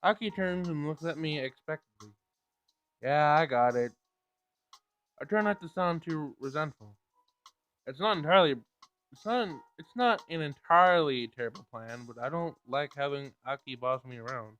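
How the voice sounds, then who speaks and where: Higher-voiced man reading a game aloud. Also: normal speed, a bit bright. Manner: stumbles over words while reading. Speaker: gameplay streamer reading aloud. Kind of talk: reading visual novel narration aloud. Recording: game music behind. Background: game music.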